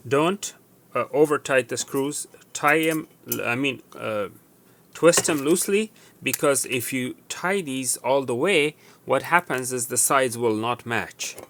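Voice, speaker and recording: A man with a mid-pitched voice walks through a repair in a casual, practical tutorial style. He goes one step at a time, haltingly, restating himself as he goes. Clear background noise with clicks runs behind him. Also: a bit bright. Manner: step-by-step, halting with self-corrections. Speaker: tutorial presenter. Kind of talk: casual hands-on repair demonstration.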